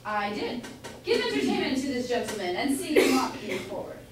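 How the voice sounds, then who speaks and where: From a distance in a very echoey room comes a woman acting a haughty old merchant father. The voice is fairly high, blustering and scheming, in a period stage comedy. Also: big pitch swings, fairly quick. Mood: hearty, approving. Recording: echoey room from far off, faint noise.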